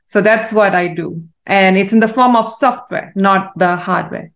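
A woman from Malaysia answers interview questions at mid pitch. She speaks in a thoughtful, measured way and pauses often with fillers. Her tone is plain and conversational. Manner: thoughtful, measured, with frequent fillers and self-corrections. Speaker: guest, AI consultant.

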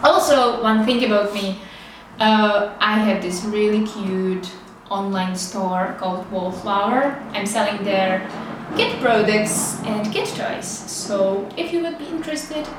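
A woman's voice: medium pitch, takes it slowly. A young woman from the Czech Republic with a Czech accent, rambling casually to the camera with lots of fillers and laughs. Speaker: vlogger. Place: small studio with street traffic outside. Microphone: camera's built-in mic, no external microphone. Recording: roomy, distant camera mic with faint street noise.

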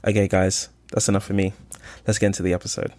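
A man hosting a podcast, reading a lively intro and then interviewing a guest in a friendly, eager way. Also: sits low, quick pace. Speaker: podcast host.

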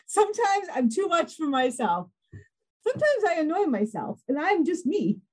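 A woman's voice: very high-pitched, wildly swooping pitch, a little slow, a little mellow. A woman hosting a podcast, chatting in a bubbly, self-deprecating way and laughing often. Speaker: podcast host. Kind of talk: casual interview chat.

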